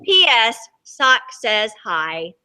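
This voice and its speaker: A woman's voice: fairly high pitch, very animated, drawn-out words, bright, forceful tone, smooth, full character voice. A woman voicing a wry turquoise crayon from a storybook, plaintively complaining about its plight. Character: puzzled, complaining turquoise crayon stuck to a sock.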